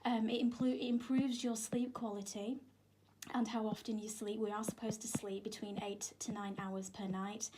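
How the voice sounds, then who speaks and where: A woman's voice: medium pitch, little pitch movement, normal speed. A woman, a yoga instructor speaking as a radio studio guest, informal, emphatic and explanatory. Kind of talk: explanatory radio interview talk. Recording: clear background noise in studio.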